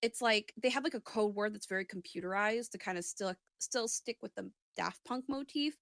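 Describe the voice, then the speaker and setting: A woman with a medium-pitched voice in a dry, close recording, talking casually as she does an intuitive reading. She feels her way through each thought, halting and restating it in a tentative manner. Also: vocal fry, a bit bright, no echo. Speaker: psychic reader on a talk show.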